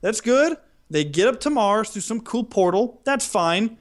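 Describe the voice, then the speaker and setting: Higher-voiced man chatting on a podcast. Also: lively intonation, a little slow. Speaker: podcast co-host. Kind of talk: casual podcast chat.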